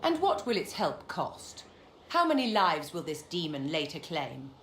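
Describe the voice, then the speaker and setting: A woman's voice: deep voice, very animated, unhurried, fairly bright tone, echoey room, slight background noise, exaggerated voice. A woman playing a stern, distrustful and imperious figure in a fantasy video game, cutting and disdainful. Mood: scornful. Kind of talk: dramatic video game acting.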